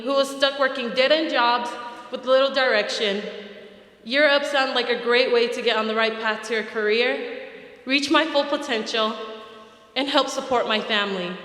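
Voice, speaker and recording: A young woman from American Samoa delivers a prepared graduation speech, earnest and measured. Her voice is bright and forward. The sound carries a long reverb, as in a large hall. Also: sits high. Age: young adult. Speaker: graduation speaker. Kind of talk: prepared speech read aloud.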